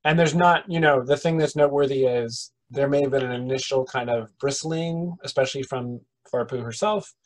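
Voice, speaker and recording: A man of middle pitch narrates as game master over a video call. He sets the scene in a halting way, with many pauses and restarts. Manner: hesitant, full of ums and restarts.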